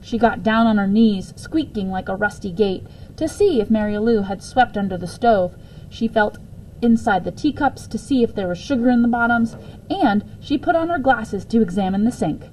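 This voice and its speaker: A woman with a fairly high voice narrates a children's book. She reads aloud in an expressive storytelling manner. There is faint noise in the background. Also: normal speed, poor audio. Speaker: story reader.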